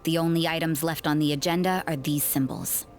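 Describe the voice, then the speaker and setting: A woman playing a wary, sardonic nun investigator in an anime fantasy video game, speaking in a cool, dry, deliberate way. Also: low-pitched, steady tone, over music. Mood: dry, appraising.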